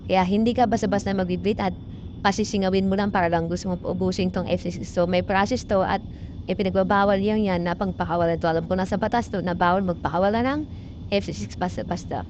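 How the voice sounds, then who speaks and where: A woman's voice: medium pitch, steady tone, quick pace. Muffled recording of a Filipino woman explaining. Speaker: vlogger explaining a technical job. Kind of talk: informal tutorial narration mixing technical English terms. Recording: muffled lo-fi audio with background noise.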